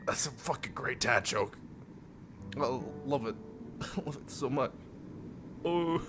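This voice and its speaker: A man live streaming a visual novel with casual, animated, self-deprecating and profane commentary. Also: mid-range voice, takes it slowly, slight background noise.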